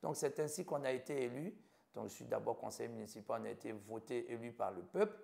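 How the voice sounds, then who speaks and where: Ivorian French man, 50s, mid-pitched and a bit gravelly, earnest interviewee who lists things off in short phrases. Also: fast, dark tone. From Côte d'Ivoire. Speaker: doctor interviewed about his life. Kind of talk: personal account in an interview.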